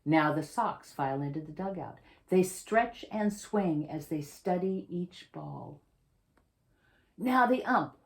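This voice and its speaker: A woman reading a children's picture book aloud as its author, with theatrical, emphatic delivery and drawn-out, suspenseful phrasing. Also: medium pitch. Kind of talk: dramatic read-aloud storytelling for children.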